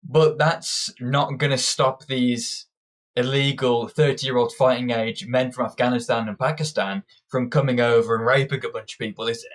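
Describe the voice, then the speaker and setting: A man's voice: medium pitch, steady tone, normal speed. A young man giving an opinionated political monologue, combative and ranting, often restarting his sentences. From Britain. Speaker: political commentator.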